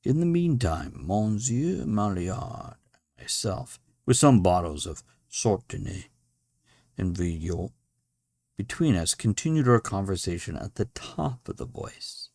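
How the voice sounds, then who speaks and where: A man's voice: on the low side, big pitch swings, slow, creaky, darkish tone, dry recording, studio quality. A man narrating a classic tale as a podcast story reader, in a dramatic, literary style.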